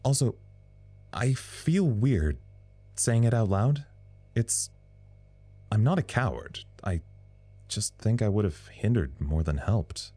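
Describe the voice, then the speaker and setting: A tired male communications officer recording a log in an audio drama. His voice is deep and unhurried, with lively swings in pitch. He rambles wryly and puts himself down. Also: breathy edge, heavily acted. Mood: rambling, wry, nervous. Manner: rambling, wry, self-deprecating. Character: weary, self-deprecating male communications officer at a secret facility.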